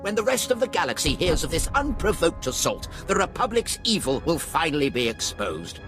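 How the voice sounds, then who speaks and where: A man's voice: on the high side, sing-song, brisk. An actor playing a bitter, indignant Imperial scientist in a video game, haughty and dramatic in his accusations. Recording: music under the voice.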